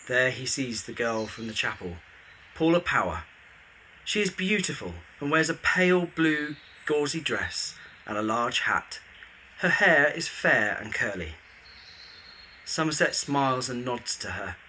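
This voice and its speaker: Bright-voiced male drama narrator. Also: mid-range voice, very animated, unhurried, a little hiss or hum, poor audio. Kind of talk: scripted present-tense drama narration.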